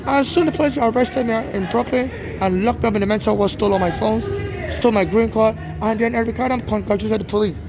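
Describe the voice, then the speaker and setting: Brief: fast, high-pitched man with a Nigerian-tinged American accent, urgent rambling monologue outdoors with loud city traffic behind. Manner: urgent, repetitive, restarts often. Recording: street noise outdoors.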